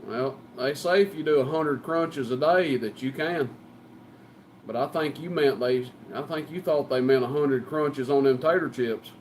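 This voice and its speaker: A man's voice: medium pitch, a little slow, a little mellow, a little hiss or hum, rough recording. A man with a Southern US accent chatting casually as a CB radio operator, folksy and joking. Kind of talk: casual banter over CB radio.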